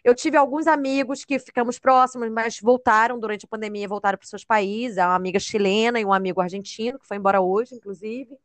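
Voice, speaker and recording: A young Brazilian woman talks in a casual, sociable way. Her voice sits fairly high, and the sound is close and dry, with very little room in it. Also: steady tone, quick pace, few pauses, no room sound. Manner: sociable, conversational. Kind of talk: casual chat.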